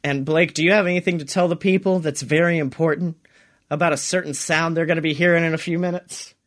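A man co-hosting a podcast, chatting casually and comedically in an animated, playful, sweary way. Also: fairly high pitch, quick pace. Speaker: podcast co-host.

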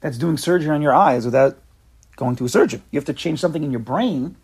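A man leading a casual religious class among friends, animated and joking, often repeating his words. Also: a little high, quick pace, husky. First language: not Hebrew. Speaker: class leader. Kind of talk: casual Torah class chat.

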